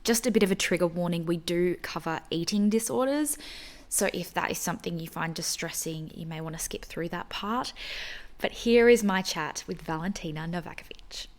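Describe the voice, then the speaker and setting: A woman's voice: lowish voice, moderate pace. A woman hosting a podcast, introducing her guest and asking questions in a casual chat. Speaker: podcast host.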